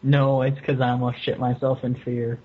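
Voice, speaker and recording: A man's voice: medium pitch, completely flat delivery, normal speed, husky, dull audio. A man co-hosting a podcast in casual banter. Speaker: podcast co-host.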